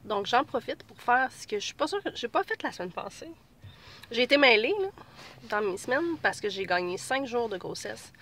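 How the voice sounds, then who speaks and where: A woman's voice: fairly high pitch, lively intonation, moderate pace, fairly bright tone, a little hiss or hum. A woman in her thirties with a Québécois accent, chatting casually to camera from her car while she has a cold, rambling with many fillers. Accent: Québécois French. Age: 30s. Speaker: pregnancy vlogger. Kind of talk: casual vlog chat.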